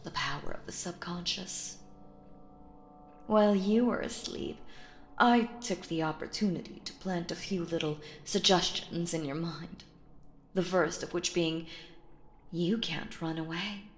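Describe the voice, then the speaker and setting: A woman playing a possessive, sinister stage magician in a roleplay audio drama, coaxing theatrically and slipping into breathy whispers. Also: on the low side, a little slow, reverberant, slight background noise. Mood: gloating. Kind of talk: roleplay audio drama, intimate hypnotic monologue.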